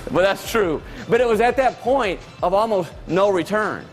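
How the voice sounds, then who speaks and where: A man in his late forties delivering a motivational keynote, talking in a loose, conversational storyteller's way. His voice sits a touch high for a man, with faint music underneath. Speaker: keynote speaker.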